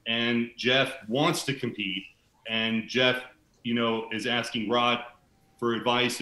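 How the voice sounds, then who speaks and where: A man's voice: medium pitch, bright, forceful tone. A middle-aged man joining an interview over a video call, talking about an old friend in a friendly, storytelling way. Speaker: guest. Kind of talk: casual interview talk. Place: room in a house. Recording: echoey room on video call.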